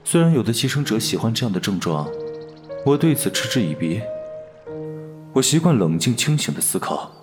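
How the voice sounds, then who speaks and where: A man playing a cool, fastidious alien parasite posing as a human in an audio drama, detached and disdainful. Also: fairly low pitch, very animated, music in the background. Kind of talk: audio drama dialogue and inner monologue.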